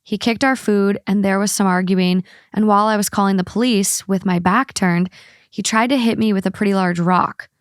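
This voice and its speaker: A woman's voice: medium pitch, fairly even intonation, moderate pace, smooth, dry recording, clean studio sound. A woman hosting a podcast, chatting casually and reading stories aloud. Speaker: podcast host. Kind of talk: casual co-host chat and reading a story aloud.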